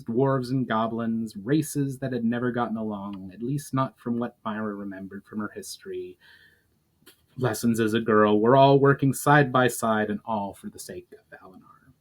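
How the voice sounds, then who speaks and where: A man's voice: medium pitch, normal speed. A man giving a dramatic reading of a fantasy story as its narrator. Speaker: author reading a book chapter aloud.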